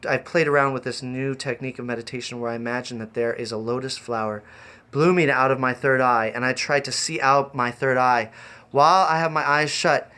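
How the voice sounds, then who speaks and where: A man with a fairly high, very bright and forward voice talks off the cuff on a livestream. He rambles as host, circling back over his own phrases in a casual way. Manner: rambling, easily distracted, repeats phrases. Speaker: live-streaming host. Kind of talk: improvised casual livestream talk.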